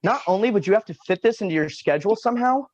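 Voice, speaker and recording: A man reading a quiet, practical young manager in a virtual staged reading, with a dry, exasperated delivery. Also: sits high, expressive, rapid-fire, no room sound, lo-fi sound. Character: quiet, practical male manager in his twenties. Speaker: also reads stage directions. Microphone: video call.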